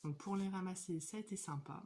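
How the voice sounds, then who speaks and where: A woman in her thirties with a cold, chatting casually and hesitantly about her crafting hobby, with many pauses and fillers. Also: fairly low pitch, warm tone. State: has a cold. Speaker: hobby vlogger. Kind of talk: casual show-and-tell chat.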